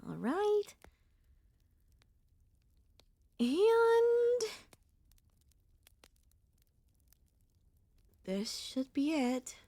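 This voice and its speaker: Woman voicing a soft, hesitant young monster girl, close and dry. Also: on the high side, no echo, heavily acted. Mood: alarmed, suspicious. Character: young female centipede monster girl, gentle, wistful, anxious. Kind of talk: ASMR audio roleplay.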